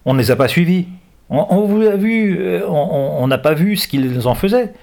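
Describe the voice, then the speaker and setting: A man's voice: high-pitched, animated, moderate pace, gravelly, a little mellow, pitched above the performer's own voice. A man voicing a bold, stubborn teenage tomboy held captive in a children's adventure story. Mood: cold, defiant.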